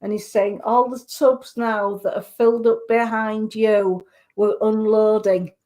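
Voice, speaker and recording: A woman with a Yorkshire accent giving a live psychic reading on the radio, gentle and reassuring, often restarting her sentences. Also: mid-range voice, little pitch movement, unhurried.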